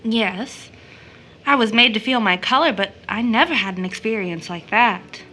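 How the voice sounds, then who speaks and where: A woman's voice: a little high, normal speed, bright, forceful tone, slight background noise. An actress playing an earnest, sympathetic woman in an audio drama, shocked and dismayed at what she hears. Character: sympathetic, shocked woman listening to a mother's story.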